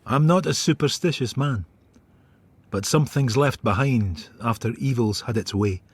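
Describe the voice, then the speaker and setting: A man acts out a brooding Scottish detective telling his own story in a radio drama. His voice is husky and mid-pitched, and he speaks in terse, sombre, observant fragments. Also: normal speed, no echo, natural voice. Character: brooding, world-weary male detective narrating. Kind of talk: first-person radio drama narration.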